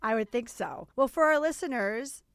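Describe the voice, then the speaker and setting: A woman's voice: on the high side, animated, normal speed, no room sound. A woman in her forties hosting a wedding podcast, chatty and enthusiastic, speaking close to the mic. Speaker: podcast host and wedding photographer.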